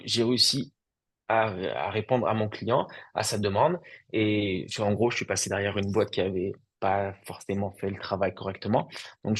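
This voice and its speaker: A young man speaking with a low voice in a relaxed interview. He talks loosely and chattily, leaning on small fillers as he recounts his own experience. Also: breathy edge. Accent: French. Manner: loose and conversational, lots of fillers. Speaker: entrepreneur guest. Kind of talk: casual testimonial interview.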